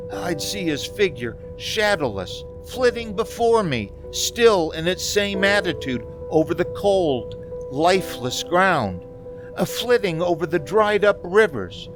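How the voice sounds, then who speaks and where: A woman playing a frightened, haunted man driving alone in a radio play, halting and repeating words under strain. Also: lowish voice. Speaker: community theater actor.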